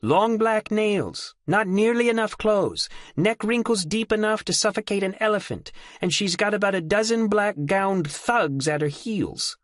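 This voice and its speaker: Guy doing a way higher venomous kid, cold and mocking, dry sound. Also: animated, measured pace, few pauses, dry recording. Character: cold, determined young male crew kid.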